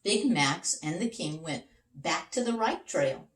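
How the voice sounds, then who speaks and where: A woman teacher reading a children's story aloud in an expressive storytelling style. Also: fairly low pitch, a little slow, recorded from a distance. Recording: roomy echo, away from mic.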